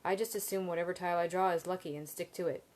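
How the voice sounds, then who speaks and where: A woman reading the lines of a shy, generous young woman student who speaks softly and modestly. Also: lowish voice, fairly even intonation, racing, natural voice. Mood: gentle.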